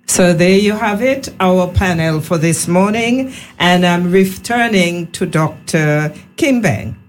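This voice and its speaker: Female radio host, Caribbean accent, unhurried and formal, moderating a panel; faint noise behind. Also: on the low side. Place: radio studio.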